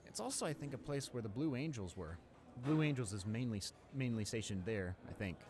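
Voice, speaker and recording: A man playing a friendly, awkward, talkative high school student in a casual audio roleplay, speaking in a hesitant, rambling, informal way. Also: animated, fairly quick, darkish tone, lightly acted. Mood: chatty, enthusiastic.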